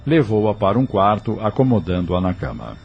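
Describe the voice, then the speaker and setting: A man's voice: lowish voice, big pitch swings, normal speed, highs cut off, slight background noise. A man narrating a scripted audio novel as its storyteller. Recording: faint music behind.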